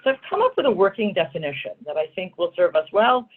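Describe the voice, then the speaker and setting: A woman's voice: lowish voice, very animated, quick pace, husky. A woman law school dean opening a lecture over a video call, gracious and articulate as she thanks her hosts. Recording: tinny phone-like audio.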